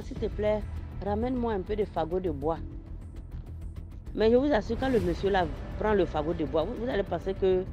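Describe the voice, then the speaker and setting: A man from Ivory Coast with an Ivorian French accent, slowly telling a personal mystical story in a phone voice note and repeating phrases for listeners. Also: high voice. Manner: slow, repetitive storytelling addressed to listeners. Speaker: caller sharing a story. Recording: dull voice note over loud music.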